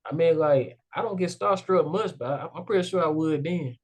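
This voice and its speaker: A man's voice: fairly high pitch, normal speed. A young adult man hosting a casual interview show, talking loosely and upbeat with many fillers. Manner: rambling, heavy "like" and "you know" fillers, upbeat. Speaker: podcast host.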